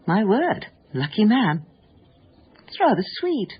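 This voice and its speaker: A woman playing a snobbish, condescending suburban wife with an upper-middle-class English accent and a husky voice in a radio drama. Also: mid-range voice, big pitch swings, slow, full character voice. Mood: surprised, intrigued. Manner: condescending, exclamatory. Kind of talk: naturalistic radio drama acting. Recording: dull, muffled radio broadcast.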